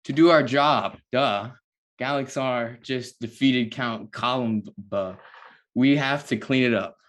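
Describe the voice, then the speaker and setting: A man's voice: medium pitch, takes it slowly, a bit bright. A man playing an irritable, sarcastic superhero sidekick in an amateur table read. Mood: condescending. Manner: sarcastic, condescending. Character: Nico, snarky irritable young male superhero sidekick.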